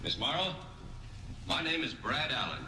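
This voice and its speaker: An actor playing a suave, needling bachelor in a 1950s Hollywood romantic comedy. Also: male voice, mid-range voice, big pitch swings, moderate pace, heavy background noise, natural voice. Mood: smooth, needling. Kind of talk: 1950s Hollywood film acting. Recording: distant, echoey old film trailer audio.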